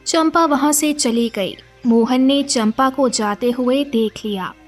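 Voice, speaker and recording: High-pitched woman storyteller. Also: slow pace, soft background music. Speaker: narrator. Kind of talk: scripted storybook narration.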